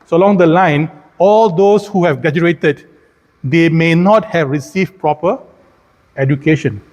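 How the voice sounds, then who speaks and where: A man's voice: a little high, measured pace, darkish tone. Malaysian man lecturing in a reverberant hall. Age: middle-aged. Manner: addresses listeners with rhetorical questions. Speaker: keynote speaker, engineering professor. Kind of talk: conference keynote lecture. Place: large indoor conference hall, speaking at a podium.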